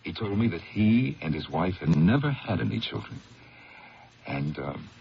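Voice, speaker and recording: A burdened, ashamed lawyer in an old radio drama speaks in a very deep voice that swings expressively. He hesitates and gropes for words as he confesses, creaky at the edges, over a muffled, faintly hissy broadcast. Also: very animated, normal speed, full character voice. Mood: reflective, pained. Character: troubled married lawyer, ashamed, burdened by a secret. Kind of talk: 1970s radio drama acting.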